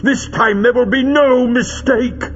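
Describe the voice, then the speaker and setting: A man's voice: very high-pitched, unhurried, long reverb tail, lo-fi sound, a little hiss or hum, voice pushed up. A man voicing a cruel, theatrical male villain in an audiobook, imperious, menacing and declamatory. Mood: gloating. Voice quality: hoarse with rage. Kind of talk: dramatic audiobook character voice.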